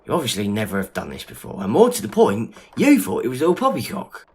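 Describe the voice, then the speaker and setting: A man playing a loyal gentleman friend in a period audio drama, talking in a matey, colloquial way. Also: lowish voice, huge pitch swings, fast, breathy edge, heavily acted. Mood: astonished. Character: loyal, grieving gentleman friend, informal and companionable. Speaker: voice actor. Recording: noisy amateur audio drama recording.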